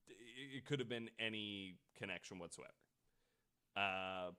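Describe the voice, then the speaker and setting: A man's voice: fairly low pitch, sharp tone, smooth. A man podcast host telling a story in a casual, informal way with some swearing. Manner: informal, profane.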